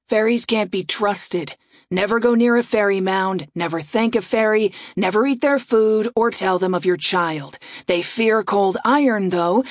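A woman voicing a blunt, matter-of-fact young woman in an audiobook, calm and deadpan. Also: mid-range voice, no room sound, clean studio sound, natural voice. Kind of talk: audiobook character voice.

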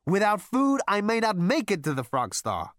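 A man's voice: on the high side, sing-song, a little fast, no room sound, clean studio sound, pitched above the performer's own voice. A man reading an audiobook, voicing a brash, food-obsessed space rogue who talks in flippant, demanding, incredulous slang. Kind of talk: audiobook character voice.

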